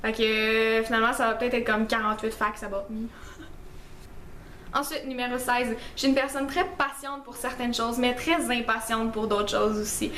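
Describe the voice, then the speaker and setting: A woman's voice: on the high side, flat delivery, moderate pace, a bit bright, roomy sound, slight background noise. A young woman with a Québécois French accent, chatting through a list of facts about herself in a casual, self-mocking way with lots of fillers. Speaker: beauty vlogger. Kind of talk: casual list-style vlog.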